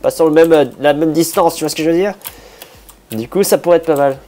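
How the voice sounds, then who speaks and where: A man with a hoarse voice, a bit sick, casually talking through a building project, thinking aloud with many hesitations and restarts. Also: on the high side, quick pace, warm tone, a little hiss or hum. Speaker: Minecraft builder.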